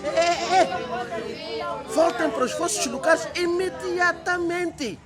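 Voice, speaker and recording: A strict, indignant schoolmaster in a radio drama. His voice climbs very high as he scolds, with a group of voices murmuring behind. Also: slow pace, a loud crowd.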